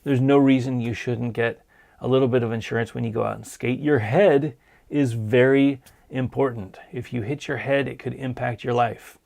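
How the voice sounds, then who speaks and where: A man hosting a casual live-stream show, hoarse from a sinus cold, talking in an earnest, encouraging way. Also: mid-range voice, steady tone, moderate pace, a little mellow. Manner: earnest, encouraging, plain-spoken. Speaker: podcast host.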